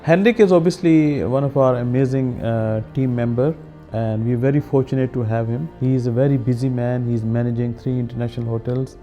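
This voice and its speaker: A man who founded a charity, speaking earnestly in a documentary interview, with short filler pauses. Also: mid-range voice, steady tone, warm tone. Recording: music bed underneath.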